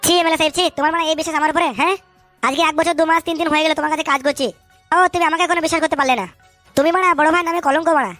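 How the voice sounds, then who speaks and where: Performer as cartoon sidekick dog, high voice, racing delivery, crude, defensive, stammering bluster. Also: fairly even intonation, rapid-fire, a bit bright, no room sound, faint music behind. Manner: blustering, stammers when flustered, colloquial and foul-mouthed. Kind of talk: comic cartoon dub with street slang.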